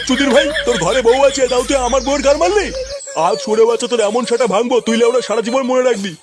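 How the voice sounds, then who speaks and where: A man's voice: extremely high pitch, rapid-fire, crowd noise. A man voicing an irate, foul-mouthed family man in a crude comedy cartoon, scolding and hectoring. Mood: furious accusing.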